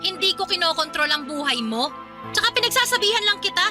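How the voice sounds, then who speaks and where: A woman's voice: very high-pitched, big pitch swings, brisk, over music. A woman playing a grumpy, sarcastic older sister in her late twenties, scolding in a radio drama. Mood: stern, reproachful. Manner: sarcastic, nagging. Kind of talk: radio drama dialogue in Tagalog love story.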